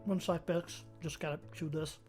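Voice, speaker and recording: A woman from Brazil with a fairly low voice, speaking English with a mostly American accent and a faint Brazilian edge. Her first language is Portuguese. She chats casually and rambles as she thinks aloud, over a faint bed of music. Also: fairly even intonation, moderate pace, darkish tone. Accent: mostly American with a slight Brazilian touch. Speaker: streamer. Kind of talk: casual chat with viewers while playing a game.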